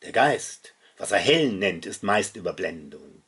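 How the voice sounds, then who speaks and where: A middle-aged man reciting his own literary poetry in a solemn, dramatic and deliberate manner. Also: deep voice, big pitch swings, fairly bright tone, breathy edge. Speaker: poet reading own work.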